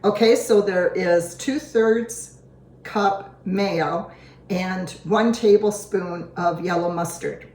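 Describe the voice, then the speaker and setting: A woman hosting a home cooking show, a little hoarse, chatting in a homey way as she works through a recipe. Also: on the low side, slow, darkish tone. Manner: chatty, homey, talks while working. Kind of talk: casual cooking demonstration. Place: home kitchen. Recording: echoey kitchen with clattering dishes. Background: dishes and utensils clinking.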